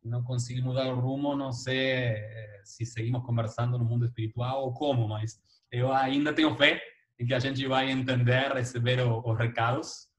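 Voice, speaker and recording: Halting, reflective man with Spanish accent. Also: mid-range voice, steady tone. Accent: Spanish-accented Portuguese. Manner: halting, reflective, searching for words. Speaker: filmmaker, guest speaker. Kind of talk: informal closing remarks in an online discussion. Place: video call.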